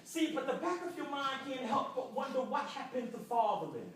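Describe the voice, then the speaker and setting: A man's voice: very high voice, sing-song, moderate pace, slight background noise. A young man from Jamaica performing rhythmic, rhyming spoken word poetry at an arts center. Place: indoor arts center hall. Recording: echoey room from far off.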